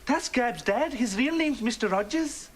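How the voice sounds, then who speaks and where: A man's voice: very high-pitched, animated, a little slow, slight background noise. A young man playing an earnest, naive and polite helper in a sitcom. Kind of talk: sitcom acting.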